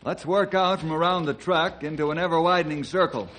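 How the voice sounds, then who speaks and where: An actor playing a steady, fair-minded American forest ranger in a radio drama, earnest and authoritative. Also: male voice, fairly high pitch, a little fast, natural voice. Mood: determined. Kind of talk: old-time radio drama acting. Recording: faintly noisy old radio recording.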